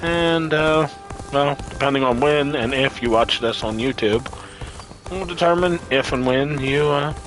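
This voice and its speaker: A man's voice: medium pitch, unhurried, a bit bright, soft background music, low-quality recording. A man doing casual live gameplay commentary as a streamer, offhand and often muttering to himself. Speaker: game streamer.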